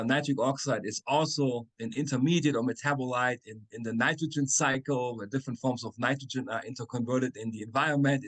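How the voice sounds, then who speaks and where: A man's voice: mid-range voice, rough recording. Man explaining on a call. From Germany. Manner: explanatory, frequent "right?" and "uh". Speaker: chemistry professor, guest. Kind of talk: webinar interview answers.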